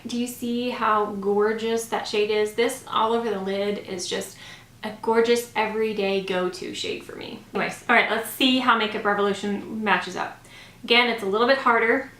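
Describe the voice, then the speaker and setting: Higher-voiced woman vlogging in a roomy space. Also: a bit bright. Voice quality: slightly scratchy. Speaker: beauty vlogger. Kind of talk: makeup review, casual chat to camera. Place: small home room, indoors. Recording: roomy echo.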